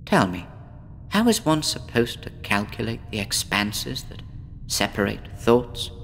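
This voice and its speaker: Guy doing a gloomy young woman patient, slow and brooding, echoey horror radio play. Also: fairly low pitch, big pitch swings, vocal fry, fairly bright tone, a little hiss or hum, exaggerated voice. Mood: defiant. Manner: slow, brooding, poetic and weary. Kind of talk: horror audio drama acting.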